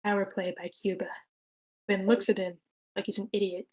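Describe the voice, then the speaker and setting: A woman's voice: medium pitch, level tone, normal speed, a little mellow, a little hiss or hum. A woman reading stage directions in a matter-of-fact way at a screenplay table read.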